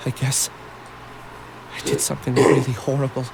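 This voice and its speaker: A man's voice: mid-range voice, level tone, moderate pace, creaky, background noise, close to an everyday voice. A man playing an earnest, guilt-ridden young man in a video game, hesitant and anxious. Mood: troubled.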